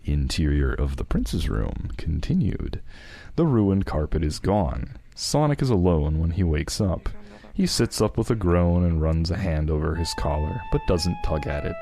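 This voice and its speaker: A man's voice: low-pitched, animated, a little slow, dark tone. A man acting as narrator, reading a fan fiction script aloud in a dramatic style. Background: background music.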